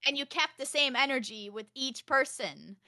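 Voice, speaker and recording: A young woman with a high, loud voice chatting casually as a podcast guest about her gaming life, outspoken and emphatic, swearing freely. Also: expressive, normal speed. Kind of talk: casual chat, animated anecdotes.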